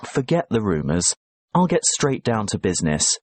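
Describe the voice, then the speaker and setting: A man playing a professor who briskly explains a task to his students in a scripted exam dialogue. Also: fairly low pitch, very animated, dry recording, pitched below the performer's own voice. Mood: explaining. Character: male professor guiding students, calm and brisk.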